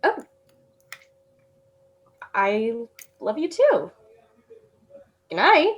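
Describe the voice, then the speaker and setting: A woman's voice: high-pitched, wildly swooping pitch, drawn-out words, lo-fi sound, exaggerated voice. An actress in a radio drama playing a young woman at college, tipsy and stammering. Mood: tipsy, playful. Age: young adult. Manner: hesitant, stammering. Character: young woman, college student far from home, tender. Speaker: college student.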